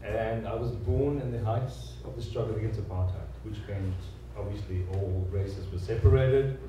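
A man from South Africa in his thirties, a guest telling his life story in an interview, earnest and halting, with many restarts. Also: on the low side, background noise. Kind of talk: conversational oral-history interview. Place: large echoey room, seated on stage. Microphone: handheld mic. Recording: roomy hall sound, mic picked up from a distance.

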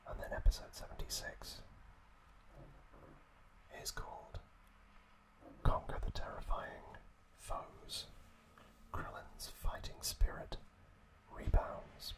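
A man narrating trivia in a hushed ASMR style, unhurried and full of pauses. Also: poor audio. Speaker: host.

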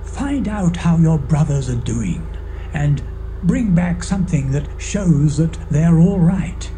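An actor playing an old shepherd father in an audio Bible drama, halting and unhurried as he gives instructions. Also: fairly high pitch, animated, mellow, slight background noise. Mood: careful, fatherly.

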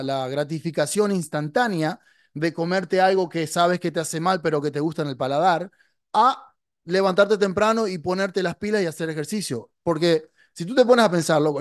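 A man's voice: fairly high pitch, no echo. A man in his forties, an online marketing coach, giving a blunt, emphatic motivational talk to camera from a small studio on a podcast mic, dropping in English words. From Latin America. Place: small dark studio, indoors. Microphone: podcast mic on boom arm.

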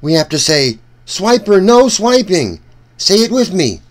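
A man reading a storybook aloud for children in an animated, deliberate way, speaking directly to young listeners. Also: high-pitched.

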